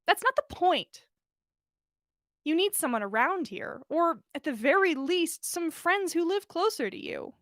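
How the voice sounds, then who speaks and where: A confident young woman from a storybook, played by a woman reader, brisk and teasing with her friend. Her high voice swings widely in pitch and sounds dry and close. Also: dry recording, full character voice. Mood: prodding. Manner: lively, direct, teasing.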